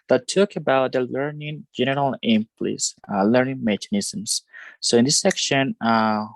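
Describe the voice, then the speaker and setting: A man's voice: medium pitch, no room sound. Hesitant young man presenting in class. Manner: hesitant, many fillers and restarts. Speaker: student presenter. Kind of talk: class presentation over video call.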